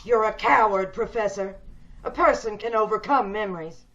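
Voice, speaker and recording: A woman's voice: mid-range voice, moderate pace, a bit bright, natural voice. A woman playing a bitter, imperious, disfigured recluse in an old-time radio drama, theatrical and despairing. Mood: scornful, angry. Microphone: video call. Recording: dull video-call audio.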